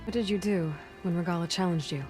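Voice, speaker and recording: A woman's voice: fairly low pitch, fast talker, darkish tone, close to an everyday voice. An actress playing a blunt, skeptical young warrior woman in a video game, with a direct, questioning, understated delivery. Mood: curious, earnest. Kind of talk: naturalistic video game dialogue. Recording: music under the dialogue.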